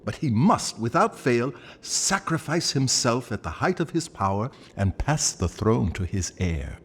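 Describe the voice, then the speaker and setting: A man's voice: mid-range voice, very animated, a little slow, long reverb tail, a little hiss or hum. An actor playing an erudite, self-assured male guide in a video game, articulate and dryly pompous. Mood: pompous, musing. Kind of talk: video game cutscene acting.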